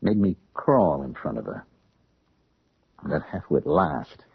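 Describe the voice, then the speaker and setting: On a muffled, dry 1970s radio drama recording, a young American man in torment and servitude. His lowish, badly roughened voice is earnest and halting, like a confession. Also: sing-song, dry recording, exaggerated voice. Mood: anguished, bitter.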